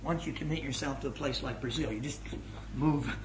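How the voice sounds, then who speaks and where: A middle-aged man giving a spoken report as a missions leader to a gathering, energetic and emphatic, swinging between loud and hushed. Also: medium pitch, a little fast. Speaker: missions leader addressing his organisation. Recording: echoey room with faint noise.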